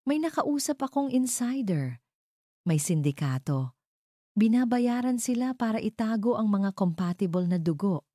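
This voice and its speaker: A woman's voice: on the high side, lively intonation, moderate pace, dark tone, no echo, studio quality, pitched above the performer's own voice. A woman voicing a serious man, an informant friend giving grim news hesitantly in an audio drama. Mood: grave.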